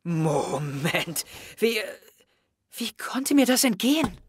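A man's voice: high-pitched, sing-song, slow pace, background noise, full character voice. A young man playing an anxious teenage schoolboy detective in an audio drama, intense and confiding. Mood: surprised, urgent. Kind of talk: German audio drama acting, close narration.

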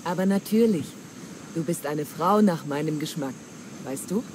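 An actress playing a wily, flattering pirate treasure hunter in a dramatic German game dub, with theatrical, coaxing delivery. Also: female voice, low-pitched, moderate pace, close to an everyday voice. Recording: clear ambient noise.

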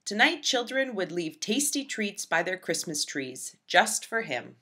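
A woman reading a children's storybook aloud with expressive, dramatic emphasis. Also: medium pitch, measured pace. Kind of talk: expressive scripted read-aloud narration for young children.

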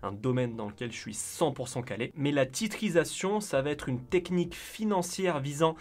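A man's voice: a little high, expressive, normal speed. Chatty man explaining finance. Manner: chatty with joking asides. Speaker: finance YouTuber. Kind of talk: casual explainer narration.

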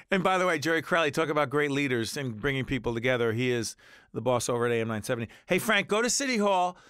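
A man hosting a radio talk show in a casual, energetic, jokey way, congested from a cold. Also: mid-range voice, fast talker, clear, resonant tone, no echo, clean studio sound. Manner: energetic, jokey, talks over co-host. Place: radio studio. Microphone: studio microphone.

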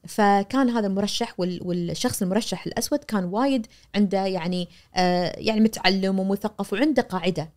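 A woman in her forties with a Kuwaiti accent, a media professor explaining things animatedly as a guest on a studio podcast, recorded on a boom mic. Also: quick pace. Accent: Kuwaiti Arabic. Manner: animated, gesturing, acts out quoted voices. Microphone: boom-arm studio mic.

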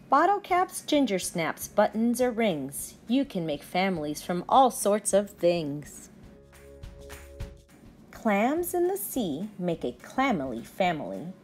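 A young woman teacher reading aloud to children with deliberate, expressive enunciation. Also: mid-range voice.

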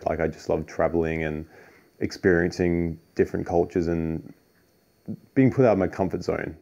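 A man's voice: sits low, fairly even intonation, moderate pace, vocal fry, mellow, lo-fi sound. A twenty-five-year-old man from Perth with an Australian accent, giving a casual personal account in an interview. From Perth, Western Australia. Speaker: documentary interviewee.

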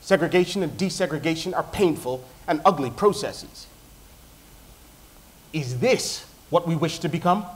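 Measured, emphatic man presenting on stage. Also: on the high side, expressive. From Nigeria, raised in the US. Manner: deliberate, rhetorical, with dramatic pauses. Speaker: doctoral student presenter. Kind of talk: memorized competition talk on stage. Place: large lecture theatre with audience.

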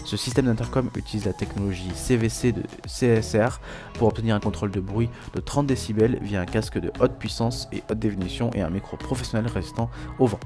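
A man's voice: lowish voice, a little fast. A man presenting a scripted product review as a voice-over, reading aloud with small stumbles and self-corrections. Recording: voice-over with music behind. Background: background music.

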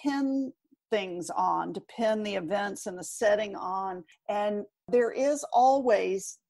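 A woman's voice: medium pitch, takes it slowly, darkish tone, no echo. A middle-aged woman answering interview questions as a guest author, in a thoughtful, articulate conversational way.

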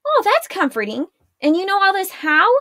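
A woman's voice: extremely high pitch, wildly swooping pitch, normal speed, bright, forceful tone, dry recording, clean studio sound, voice pushed up, heavily acted. A woman voicing a teenage girl in an audiobook, earnest and anxious, asking question after question.

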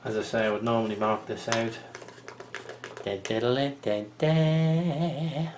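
A chatty man in his fifties records a homespun tutorial into his desk webcam in a small home office. His voice sits at an average pitch and he mutters to himself while working with his hands. A faint hiss runs under the recording. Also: steady tone, takes it slowly. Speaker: bushcraft channel host.

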